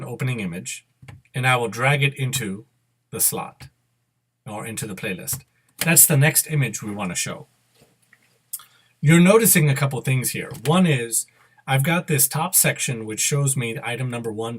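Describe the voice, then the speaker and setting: A middle-aged man narrating a step-by-step screen demo, unscripted and halting, correcting himself as he instructs. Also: medium pitch, breathy edge. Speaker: software tutorial presenter. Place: indoor room at a computer.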